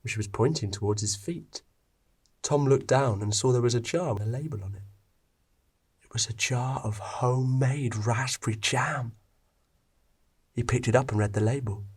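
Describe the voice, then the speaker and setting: A man's voice: medium pitch, normal speed, pauses often, breathy edge. A man narrating a scripted story as its reader.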